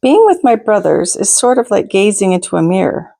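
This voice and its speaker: A woman reading a picture book aloud to children as a storytime host, in a deliberate, expressive manner with asides to her listeners. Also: mid-range voice, creaky. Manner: deliberate, expressive, pauses to ask listeners questions.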